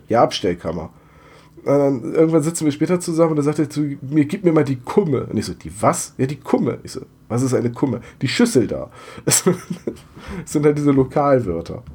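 A man's voice: mid-range voice, mellow, husky. A man from Bremen with a Bremen accent, chatting casually as a podcast host and telling stories with many hesitations. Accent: Bremen German. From Bremen, Germany. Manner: chatty, storytelling, frequent hesitations. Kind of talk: casual chat.

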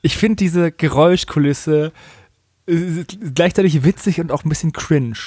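A man's voice: fairly high pitch, normal speed, darkish tone, no echo, studio quality. A man in a casual, humorous podcast chat, co-hosting with colloquial, mock-serious exaggeration. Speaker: podcast co-host. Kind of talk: casual humorous chat recapping an audio drama.

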